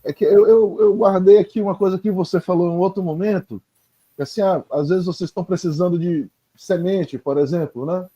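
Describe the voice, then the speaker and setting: Brief: hesitant interviewer, high mellow male voice, muffled lo-fi audio. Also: steady tone, fairly quick, husky. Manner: hesitant, apologetic, restarts often. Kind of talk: interview questions. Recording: dull lo-fi audio.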